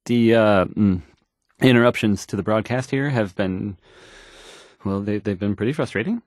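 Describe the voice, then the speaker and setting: A man's voice: on the low side, no echo, clean studio sound, lightly acted. A man playing a timid, awkward young radio DJ in a video game, hesitant and apologetic as he stammers through the news in a shaky voice. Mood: flustered, unsure. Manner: stammering, halting, apologetic, trailing off. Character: timid, awkward young male radio DJ, anxious and unsure of himself.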